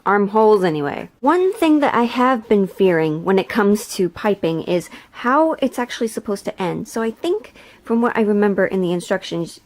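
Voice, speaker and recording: A woman's voice: medium pitch, lively intonation, normal speed, a little mellow. A woman chatting casually as she hosts a sewing vlog, thinking aloud in a rambling, self-deprecating way. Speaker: video host and hobby historical seamstress.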